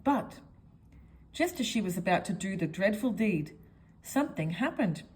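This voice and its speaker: Female storyteller for a children's picture book, expressive, highly varied intonation, speaks straight to young listeners. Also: normal speed.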